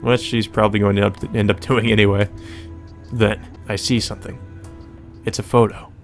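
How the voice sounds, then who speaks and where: Low-voiced man reading a visual novel. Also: little pitch movement, moderate pace, creaky. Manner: slips into character dialogue, ad-libbed asides. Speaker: let's player. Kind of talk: reading visual novel aloud with casual commentary. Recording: music behind the voice. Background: game music.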